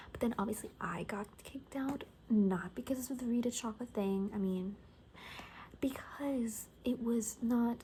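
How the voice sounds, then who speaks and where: A woman doing a soft-spoken, breathy ASMR roleplay as a cocky, gossipy apocalypse survivor, often dropping into a whisper and rambling casually. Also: a little high, a little hiss or hum.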